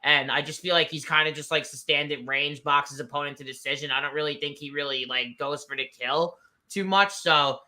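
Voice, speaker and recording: A man's voice: a little high, fairly even intonation, brisk, sharp tone. A man giving casual fight picks, blunt and rambling, leaning on "I feel like". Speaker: betting analyst guest.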